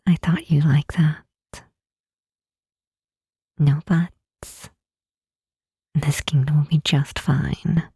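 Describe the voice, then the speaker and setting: Woman playing a hushed, husky, gentle demon queen. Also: sits low, steady tone, no echo, clean studio sound, exaggerated voice. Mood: gentle, caring. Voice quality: soft, breathy, husky. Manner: slow, tender, soothing, with deliberate pauses. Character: gentle, reassuring demon queen comforting a weary hero. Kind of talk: intimate ASMR roleplay. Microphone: close mic, dry.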